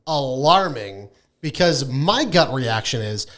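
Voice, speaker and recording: A man's voice: mid-range voice, very animated, slow, fairly bright tone. A man co-hosting a podcast, ranting casually and emphatically with heavy swearing and repeating himself for emphasis. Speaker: podcast co-host. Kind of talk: loud irreverent casual podcast rant.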